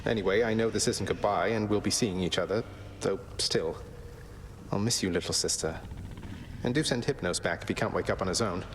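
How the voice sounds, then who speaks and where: A low-voiced young underworld prince played by a man in a fantasy game. He talks like a casual, sincere big brother, with faint noise in the background. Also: brisk, close to an everyday voice, low-quality recording. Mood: affectionate, sincere. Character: easygoing, affectionate young prince and older brother. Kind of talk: video game voice acting.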